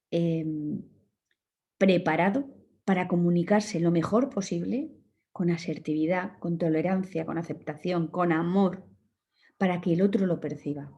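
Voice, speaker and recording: A woman from Murcia with a Murcian Spanish accent, giving an online lecture as a communication course instructor in a calm, instructive way, with direct advice. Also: on the low side, muffled recording. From Murcia, Spain.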